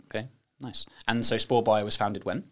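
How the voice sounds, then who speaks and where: A man's voice: lowish voice, steady tone, normal speed, a bit bright. A man hosting an interview, asking loose, friendly questions with fillers. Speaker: podcast host.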